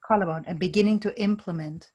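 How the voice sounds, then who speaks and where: Woman, tapping practitioner, slightly low voice, short phrases to repeat, calls out tapping points. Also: quick pace, a little mellow, muffled recording. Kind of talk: guided tapping session.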